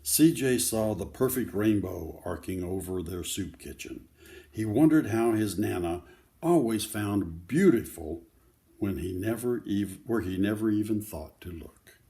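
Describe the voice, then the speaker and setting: A man's voice: on the low side, expressive, measured pace, warm tone. An older man reading a children's book aloud, adding simple conversational asides to explain the pictures. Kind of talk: read-aloud storytelling with informal asides to children.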